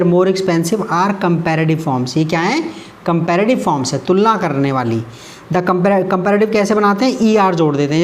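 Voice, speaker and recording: A man with an Indian English accent teaching a grammar lesson in Hindi. He talks patiently, repeats the key terms and asks quick questions. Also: high voice.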